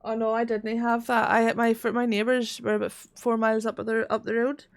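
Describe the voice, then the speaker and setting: Rural Northern Irish woman streamer, higher voice. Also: little pitch movement, a little fast. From Northern Ireland. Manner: chatty, swears freely, laughs often while reading chat. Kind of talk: casual gaming livestream chat.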